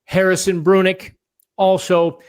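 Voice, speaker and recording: A man hosting a casual solo podcast from a hotel room, slightly raspy and rambling, with fillers and restarts. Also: high voice, monotone, unhurried. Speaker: podcast host.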